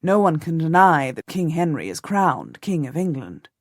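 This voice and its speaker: A woman voicing a steady, cautious nobleman husband who speaks in a calm, measured, commanding way. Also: deep voice, no echo, studio quality, full character voice. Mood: persuasive. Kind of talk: audiobook character voice.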